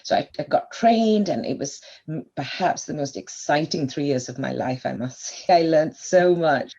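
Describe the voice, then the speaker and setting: A woman's voice: low voice, normal speed, lo-fi sound, a crowd in the background. A woman in her fifties from Sri Lanka, a doctor guest on a podcast, reflectively telling her life story at length. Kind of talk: podcast interview.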